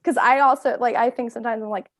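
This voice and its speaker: A woman's voice: on the high side, steady tone, quick pace, fairly bright tone. Chatty woman with a half-Southern accent. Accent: mixed Southern and New York. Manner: chatty, laughs often. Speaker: co-host. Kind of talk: casual chat on a video call. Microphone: video call.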